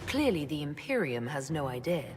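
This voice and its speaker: A woman playing a composed, compassionate young leader in a fantasy video game, speaking in a measured, earnest way. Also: low voice, very animated, darkish tone. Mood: firm, earnest. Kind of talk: dramatic video game dialogue. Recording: long hall reverb with music behind.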